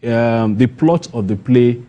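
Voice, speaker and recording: A man's voice: medium pitch, takes it slowly, warm tone, highs cut off. A Nigerian man speaking as an interview guest, earnest and emphatic, repeating phrases as the point builds. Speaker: playwright and guest. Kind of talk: broadcast interview answers.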